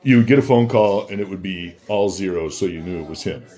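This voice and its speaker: A man with a fairly low voice chats as an interview guest. He tells his anecdotes informally, in a halting way, repeating words for emphasis. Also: mellow. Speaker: interview guest, former bodyguard. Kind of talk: casual anecdotal chat.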